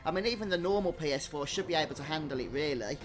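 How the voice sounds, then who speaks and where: Male game streamer, slightly high voice, conversational and casual, talking to viewers over soft game music. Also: animated, quick pace. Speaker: gaming streamer and host. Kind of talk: casual live stream chat over gameplay. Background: video game music.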